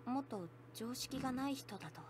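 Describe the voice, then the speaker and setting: Woman doing an earnest schoolgirl, quick, high, soft. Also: slight background noise, lightly acted. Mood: puzzled. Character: girl of middle-school age, cafe owner, earnest and nosy. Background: game music.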